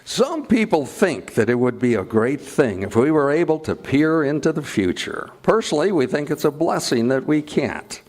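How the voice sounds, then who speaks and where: A man's voice: a little high, big pitch swings, normal speed, few pauses, a little mellow, soft background music. A man playing a genial old-time radio announcer, reading scripted sponsor copy and narration in 1940s style. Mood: smooth, suspenseful.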